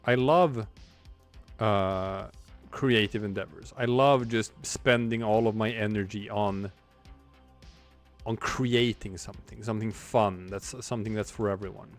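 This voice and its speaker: A man's voice: fairly low pitch, fairly even intonation, unhurried, faint music behind. A man in his forties, a streamer and comic artist, talking in a rambling, reflective way while he draws, with many restarts. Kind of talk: casual livestream chat while drawing.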